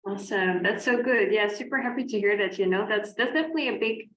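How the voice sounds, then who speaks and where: A woman's voice: medium pitch, fast talker, fairly bright tone. A woman hosting a casual interview over a video call, upbeat and peppered with "you know". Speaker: host, coach. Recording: roomy, dull video call audio.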